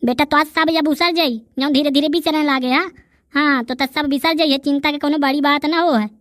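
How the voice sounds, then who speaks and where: A sassy, argumentative rustic from a comedy cartoon , played by a woman, speaks high with a Bundelkhandi accent. The delivery is wordy and bickering, and the sound is very dry. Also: fairly even intonation, a little fast, fairly bright tone, dry recording. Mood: taunting. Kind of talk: dialect comedy cartoon dialogue.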